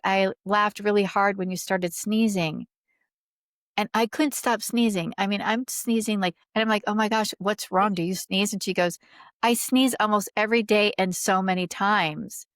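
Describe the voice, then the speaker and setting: A woman's voice: medium pitch, moderate pace, dry recording. Earnest lady podcast guest telling stories super casually, says like a lot, really dry close recording. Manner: earnest, informal, frequent "like" and "right?". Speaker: podcast guest, spiritual healer. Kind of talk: conversational storytelling, reading out testimonials.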